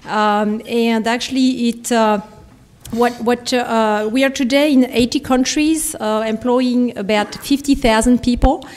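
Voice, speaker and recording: A woman's voice: on the high side, steady tone, a little slow, darkish tone. A French woman with a French accent speaking as a company panelist, in a conversational, friendly way with frequent fillers. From France. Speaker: company vice president, panelist. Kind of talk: conference panel talk.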